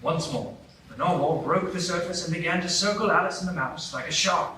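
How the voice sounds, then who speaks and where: A man narrating a storybook tale in a live radio play, with a gentle storytelling manner. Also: fairly high pitch, brisk. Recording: roomy echo from far off, light noise.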